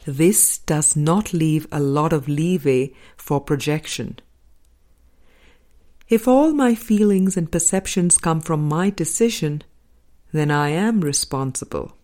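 A woman reading a spiritual book aloud as an audiobook narrator, in a measured, deliberate way with pauses between phrases. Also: low voice, darkish tone. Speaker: audiobook reader.

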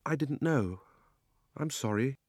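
A man plays a young British girl from a children's storybook. She is frank, curious and a little prim. The voice is lifted high above the reader's own and swoops expressively. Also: lively intonation, slow pace, darkish tone, no echo, studio quality. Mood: humble.

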